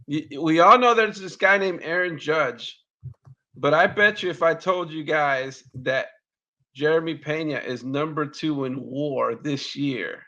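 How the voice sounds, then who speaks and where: A man co-hosting a casual baseball talk show, halting and repeating words as he talks. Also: a little high, bright, forceful tone, dull audio. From Mexican roots. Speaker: baseball podcast co-host. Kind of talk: casual sports chat.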